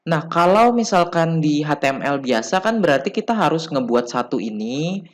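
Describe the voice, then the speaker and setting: A man with an Indonesian accent giving an informal coding tutorial as an instructor, explaining haltingly, step by step. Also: a little high, very animated. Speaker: programming instructor.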